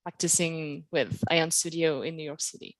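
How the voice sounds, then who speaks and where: A woman's voice: sits low, steady tone, moderate pace, no room sound, poor audio. A woman introducing herself at a public meeting, hesitant and full of fillers. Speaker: project team member introducing herself.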